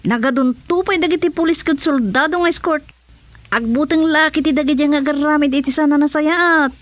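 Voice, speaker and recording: A confident female aide acted by a woman in a radio serial. Her voice is very high, and she speaks emphatically and reassuringly. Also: brisk, dry recording, close to an everyday voice. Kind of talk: radio drama acting.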